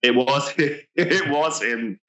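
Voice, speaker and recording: A man's voice: medium pitch, slow, fairly bright tone, dull audio. A man co-hosting a podcast, talking casually and hesitantly, often restarting his sentences. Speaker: podcast co-host. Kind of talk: casual chat about a reality show.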